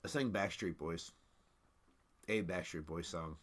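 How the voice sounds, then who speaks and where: A man with a low monotone voice, co-hosting a casual late-night livestream, rambling with many fillers and restarts. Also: unhurried, a little hiss or hum. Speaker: co-host.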